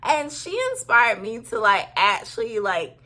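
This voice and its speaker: A woman's voice: a little high, expressive, unhurried, bright, forceful tone. A woman in her thirties, a sewing vlogger, chatting casually and giggling often as she talks to camera. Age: 30s. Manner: giggly, bubbly, lots of "like". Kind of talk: casual chat.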